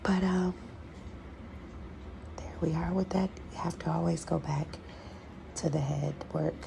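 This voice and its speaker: A woman with a gravelly, creaky voice giving a halting, conversational explanatory voiceover as the host of an ASMR video. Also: sits low, fairly even intonation. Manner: unhurried, conversational, halting with pauses. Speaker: host, scratch therapy practitioner. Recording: clearly audible background noise.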